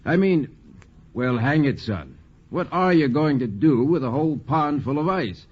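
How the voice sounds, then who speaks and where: A man playing a genial, middle-aged father in a 1940s radio drama, husky and halting in a folksy, blustering way. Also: medium pitch, expressive. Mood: curious, flustered. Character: genial, curious, flustered New England father. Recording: muffled 1940s radio recording.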